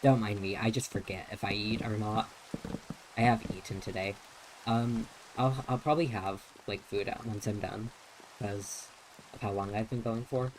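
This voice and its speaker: A man's voice: lowish voice, steady tone, normal speed, slight background noise, rough recording. A young man streaming a game, chatting casually in a neutral, feminine-leaning voice, rambling with many restarts and fillers. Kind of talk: casual live-stream chat while playing.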